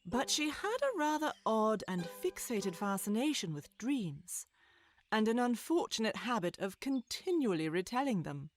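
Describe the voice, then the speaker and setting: A woman narrating a scripted storybook tale in a measured, literary storytelling manner. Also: a little high, very animated.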